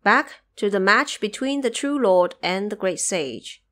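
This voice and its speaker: A woman narrator telling a scripted story for an audio drama in an expressive, measured way. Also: medium pitch.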